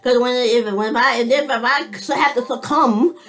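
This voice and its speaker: A woman's voice: on the high side, normal speed. A woman in her fifties talking to camera in a casual personal monologue, rambling with frequent restarts and folksy, informal phrasing, laughing between thoughts. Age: 50s. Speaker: vlogger.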